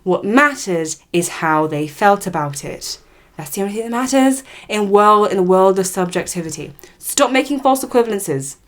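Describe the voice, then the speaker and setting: A woman's voice: mid-range voice, expressive, normal speed. Woman vlogger ranting, sarcastic, mocks people with little put-on voices. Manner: sarcastic, mocking, often puts on voices to parody others. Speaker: commentary vlogger. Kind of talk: opinionated commentary monologue.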